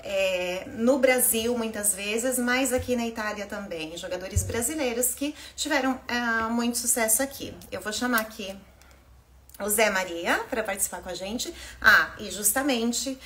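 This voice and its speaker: A lively woman in her thirties hosts a livestream from a small home office, talking into a webcam at her desk. Her voice sits a little high, with a faint hum in the background. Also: unhurried. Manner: lively, mixes Italian words into Portuguese. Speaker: host. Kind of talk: casual live-stream interview.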